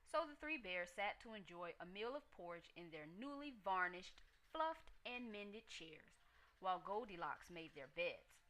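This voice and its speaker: A woman storyteller giving an expressive narration of a children's tale. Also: mid-range voice, measured pace, poor audio.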